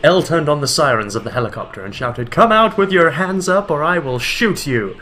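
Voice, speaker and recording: A man giving a comedic dramatic reading of a bad fan fiction, with loud voiced quotes. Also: a little high, normal speed, a little hiss or hum. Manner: reads deadpan, breaks into laughter, voices quotes loudly.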